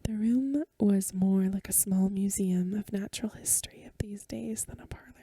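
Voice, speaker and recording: Breathy whispering woman reading ASMR. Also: mid-range voice, steady tone, no echo, studio quality. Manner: hushed, unhurried, soothing storytelling. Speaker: ASMR reader and host. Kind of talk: soft-spoken ASMR reading of a Victorian story. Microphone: close microphone.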